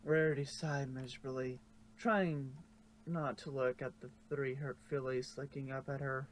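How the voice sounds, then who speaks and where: A man's voice: mid-range voice, fairly even intonation, normal speed, slight background noise. A man reading scripted fan fiction narration, sometimes stumbling and correcting himself.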